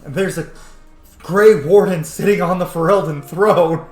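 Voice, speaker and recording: A man's voice: high voice, measured pace, darkish tone. A man hosting a casual roleplay let's play, reacting irreverently and swearing freely. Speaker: gaming channel host. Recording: echoey room, faint game music behind. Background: faint game music.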